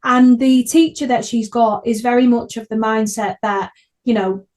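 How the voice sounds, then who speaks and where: Woman hosting a chatty podcast, voice on the higher side, gushing and keen. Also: fairly even intonation, moderate pace, darkish tone. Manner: enthusiastic, effusive. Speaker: podcast host. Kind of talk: casual podcast chat.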